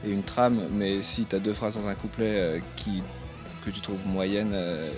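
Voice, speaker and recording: A man with a fairly low voice answers questions thoughtfully, hesitating and restarting his sentences often. Music plays clearly behind him throughout. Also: steady tone, unhurried. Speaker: singer-songwriter interviewed about his album. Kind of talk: casual interview answers.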